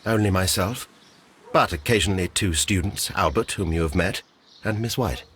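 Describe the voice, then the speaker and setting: An actor playing a curt, bossy deputy director of botanic gardens in a video game, officious and formal. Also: male voice, low voice, normal speed, a bit bright, slight background noise. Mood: matter-of-fact.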